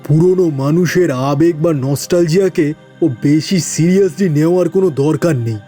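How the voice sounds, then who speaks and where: Audio-story role: man as impatient, scoffing male relative, mellow tone, slightly high, faint music behind. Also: normal speed, lightly acted. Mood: scornful. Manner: scornful, impatient. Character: dismissive relative scoffing at old sentiment. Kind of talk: dramatized audio story acting.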